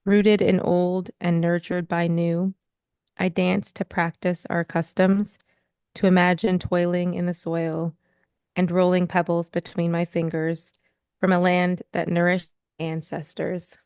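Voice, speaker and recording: A woman's voice: fairly low pitch, darkish tone, smooth, dry recording, clean studio sound. Young American woman reading a poem. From United States. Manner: measured, thoughtful, rhythmic reading. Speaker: author. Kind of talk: poetry reading and interview.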